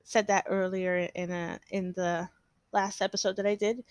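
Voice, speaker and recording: A woman's voice: mid-range voice, flat delivery, normal speed, no room sound, studio quality. A young woman hosting her own podcast, rambling and hesitant in a casual solo chat, a little stuffy from allergies. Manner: rambling and hesitant, many fillers and restarts. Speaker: podcast host.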